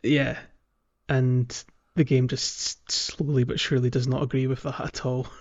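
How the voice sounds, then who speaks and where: A man's voice: mid-range voice, flat delivery, moderate pace, a little mellow, muffled recording. A man co-hosting a gaming podcast, chatting casually about a video game. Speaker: podcast co-host.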